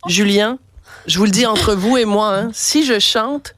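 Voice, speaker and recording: A woman's voice: mid-range voice, measured pace, a bit bright, no echo. A woman radio host with a Quebec French accent, chatty and playful, with a cold, interviewing a guest in the studio. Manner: chatty, playful, laughs often. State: has a cold.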